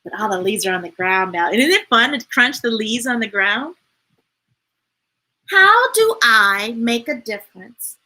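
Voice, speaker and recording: Animated, bright-voiced woman reading to children. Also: on the high side, measured pace. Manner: expressive, playful, emphatic reading. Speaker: storytime host reading children's books aloud. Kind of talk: animated read-aloud for children with chatty asides on a livestream.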